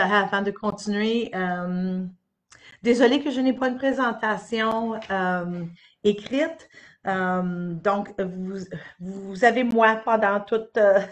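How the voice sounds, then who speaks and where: A middle-aged woman presents on a live stream, speaking off the cuff. She pauses often and restarts her sentences, and her voice sits at a medium pitch. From Northern Ontario, Canada. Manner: hesitant, many "euh" fillers and restarts. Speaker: education officer giving a presentation. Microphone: webcam or computer mic on a live stream.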